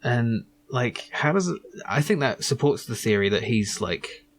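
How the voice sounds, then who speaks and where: A man's voice: mid-range voice, lively intonation, measured pace, crowd noise. A man hosting a let's play, giving casual, musing commentary as he goes through an adventure game. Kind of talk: casual gameplay commentary, reading game dialogue aloud.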